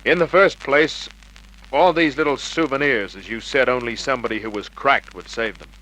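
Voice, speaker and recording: A man's voice: medium pitch, normal speed, fairly bright tone, close to an everyday voice. A male actor playing a wry private investigator who narrates his case in clipped, matter-of-fact Australian tones, in an old radio detective drama. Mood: dry, questioning. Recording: noisy vintage radio drama recording.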